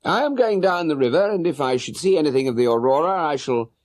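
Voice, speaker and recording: This is a man performing a British gentleman detective from an audiobook story, coolly confident and urbane. His delivery is clipped and probing, with lively intonation and a dry, close sound. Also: on the high side, fast talker, no echo, voice pushed up, close to an everyday voice. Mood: courteous probing. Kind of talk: audiobook character voice.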